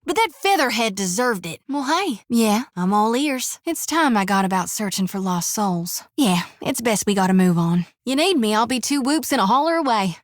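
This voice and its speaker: A woman's voice: on the high side, big pitch swings, normal speed, hardly any pauses, dry recording, clean studio sound. A woman in her twenties voicing a young, stubborn soul-harvesting priestess who talks in a folksy, homespun way full of rural sayings. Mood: wry. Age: 20s. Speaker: game companion. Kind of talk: game voice acting.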